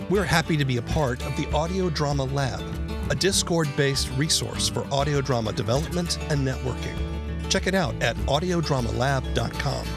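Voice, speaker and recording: A man hosting a podcast, reading scripted narration. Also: medium pitch. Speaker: podcast host. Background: instrumental guitar music.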